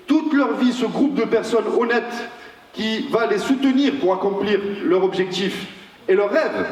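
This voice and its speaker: A man giving a motivational seminar speech as a network marketing trainer, rousing his listeners with repeated lines and rhetorical questions. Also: very high-pitched. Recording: echoey hall, mic far off.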